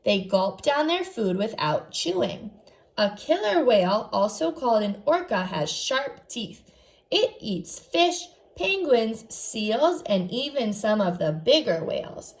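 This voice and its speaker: A woman's voice: on the high side, sing-song, slow. A woman teacher reading aloud to young children, enunciating carefully and adding short explanatory asides. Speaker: first grade teacher.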